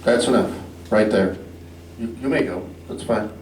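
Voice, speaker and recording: A man's voice: fairly low pitch, normal speed, darkish tone. A man chairing a public meeting with brief procedural remarks. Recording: echoey noisy room, distant mic.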